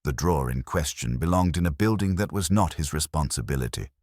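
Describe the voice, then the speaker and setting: A man narrating a mystery story from a script in a measured, precise, literary manner. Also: rumbling low, animated, darkish tone, no echo, studio quality.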